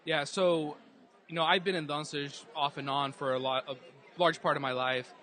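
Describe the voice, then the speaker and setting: Earnest man with a bright voice. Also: fairly high pitch, steady tone, moderate pace. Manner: earnest, storytelling. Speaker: guest, dance group organizer. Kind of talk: casual interview answers. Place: live event hall.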